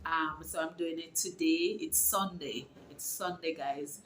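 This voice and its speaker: A woman's voice: sits low, measured pace, a bit bright, slight background noise. A middle-aged woman vlogging from home, talking in a casual, encouraging, preachy way and rambling with restarts. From Jamaica. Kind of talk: casual faith-filled vlog. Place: her home. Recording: echoey room with faint ambience.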